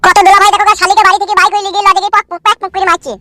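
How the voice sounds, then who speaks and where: Very high, whiny cartoon son-in-law. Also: male voice, flat delivery, racing, sharp tone, dry recording, heavily acted. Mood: aggrieved. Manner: pleading, self-justifying, foul-mouthed.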